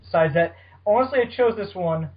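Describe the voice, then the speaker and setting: A man's voice: sits high, expressive, brisk. A man hosting a podcast, chatting casually about fan fiction with banter and frequent swearing. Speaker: podcast host. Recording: dull lo-fi podcast audio.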